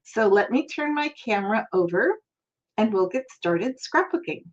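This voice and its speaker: A woman's voice: fairly high pitch, lively intonation, normal speed. Chatty older woman, slightly dull audio. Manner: chatty, friendly, laughs often. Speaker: crafting channel host. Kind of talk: casual crafting tutorial.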